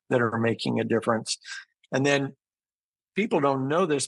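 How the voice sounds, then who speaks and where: A man's voice: mid-range voice, gravelly, fairly bright tone, no room sound, poor audio. Man explaining in an interview, close dry sound. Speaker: interview guest, physician and business founder. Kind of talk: conversational interview answers.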